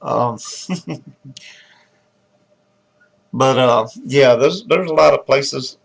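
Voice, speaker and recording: Hoarse Southern man for a casual live call-in host; raspy, a bit gravelly, chatty and meandering. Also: medium pitch, very slow, poor audio. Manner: loose and chatty, greets viewers by name, many restarts. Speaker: host of a live paranormal talk show. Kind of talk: casual rambling live-stream chat.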